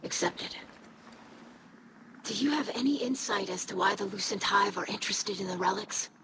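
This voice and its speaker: An actress voicing an earnest young female alien in a video game, with a breathy, whispery rasp and formal, measured speech. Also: mid-range voice, noisy background, close to an everyday voice. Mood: guarded, hurt. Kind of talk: scripted video game dialogue.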